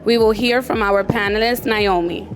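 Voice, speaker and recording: A woman's voice: medium pitch, steady tone, moderate pace, soft background music. A woman moderating a panel at a restaurant event, giving formal, scripted introductions. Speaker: moderator of a professionals' group panel. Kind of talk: event hosting. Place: restaurant event space, indoors.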